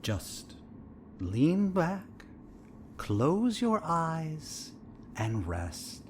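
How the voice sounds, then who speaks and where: A man playing a sinister, soothing therapist who coaxes slowly, in an audio drama. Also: fairly high pitch, huge pitch swings, exaggerated voice. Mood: soothing, controlling. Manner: slow, coaxing. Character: sinister, soothing male therapist giving a drug. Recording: loud noise behind the voice.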